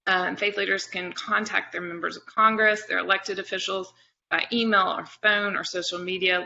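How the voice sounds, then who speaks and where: A woman's voice: on the low side, creaky, pushed and bright, a little hiss or hum. A woman presenting as an advocacy panelist on a webinar. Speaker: faith advocacy organizer, panelist. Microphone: video call. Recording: dull lo-fi webinar audio.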